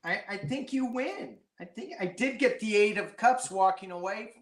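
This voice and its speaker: A man's voice: high-pitched, normal speed. A man appearing as a guest tarot reader, chatting casually in a playful way with exclamations and puns. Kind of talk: casual chat.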